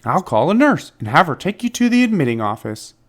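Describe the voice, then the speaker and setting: A man voicing a reassuring male doctor in a children's storybook, brisk and matter-of-fact as he explains. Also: on the high side, big pitch swings, pitched above the performer's own voice. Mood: businesslike, reassuring.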